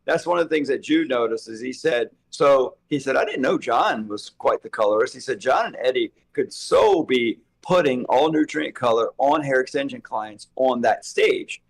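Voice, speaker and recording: A man co-hosting a casual chat, slightly hoarse and rambling as he thinks aloud. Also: medium pitch, moderate pace, few pauses. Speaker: co-host.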